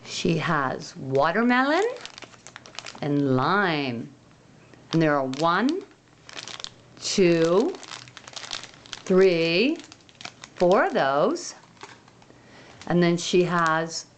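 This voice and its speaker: A woman hosting a toy unboxing video, gently showing and naming each item in a sing-song way. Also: on the low side, a little hiss or hum. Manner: slow, gentle, sing-song show-and-tell.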